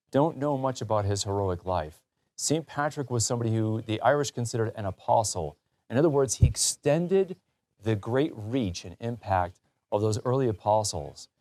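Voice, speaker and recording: A man with a fairly low voice hosts a conversational talk show. He speaks with emphasis and clear enthusiasm, as if explaining things directly to his audience. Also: darkish tone.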